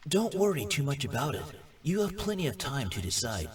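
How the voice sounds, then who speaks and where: An actor playing a kind man giving gentle, reassuring advice in a visual novel game, heard as distant-sounding game audio. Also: mid-range voice, big pitch swings, brisk, over a noisy crowd, close to an everyday voice.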